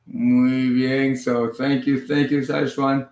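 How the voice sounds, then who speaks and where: A man hosting a casual family livestream from home, moving easily between languages with a relaxed, filler-heavy way of talking. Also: medium pitch, slow pace. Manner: switches between languages mid-sentence. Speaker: livestream host and father. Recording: echoey room sound.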